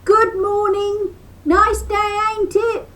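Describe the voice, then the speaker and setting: Storybook part: a shrill, cheeky trickster rabbit who hollers, read aloud by an older woman in a squeaky raised voice. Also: lively intonation, slow, a little mellow. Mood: chirpy. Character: cheeky, hot-tempered male trickster rabbit.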